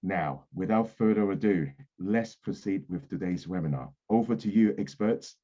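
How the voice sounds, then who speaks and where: A man's voice: sits low, moderate pace, mellow, highs cut off. A man hosting an online panel webinar over a video call, introducing and steering the discussion. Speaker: webinar host.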